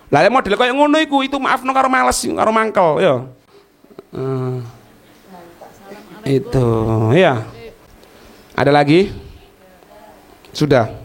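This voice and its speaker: Higher-pitched Javanese-accented male Islamic teacher. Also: animated, slow, vocal fry, long pauses. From East Java, Indonesia. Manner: colloquial, didactic, asks rhetorical questions and checks understanding. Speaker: Islamic teacher answering listeners' questions. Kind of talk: religious lecture with question and answer.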